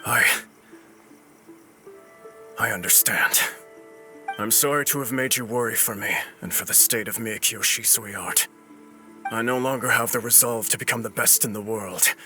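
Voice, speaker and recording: A middle-aged sword master from a video game, burdened by remorse. His voice is strained, bright and intense, slightly low, with formal, earnest phrasing over soft music. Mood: humbled resolve. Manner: earnest, formal, halting when distressed. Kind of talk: dramatic video game acting.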